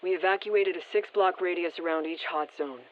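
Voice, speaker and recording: A woman's voice: fairly low pitch, a little fast. An actress playing a female field operative in a video game, giving matter-of-fact intel reports through a radio filter. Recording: muffled, band-limited radio transmission.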